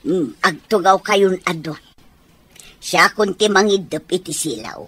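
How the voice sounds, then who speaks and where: A woman's voice: lowish voice, lively intonation, unhurried, fairly bright tone. A woman with a Filipino accent playing an older, fussy but fond wife and mother in a radio comedy-drama. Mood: fussing. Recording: faint noise behind radio drama.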